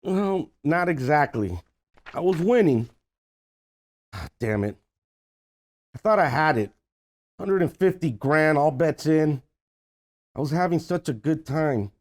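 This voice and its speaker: A man's voice: fairly high pitch, animated, slow pace, pauses often. A man playing a desperate, scheming middle-aged uncle in a comedy table read, blustering and pleading. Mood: sheepish confession.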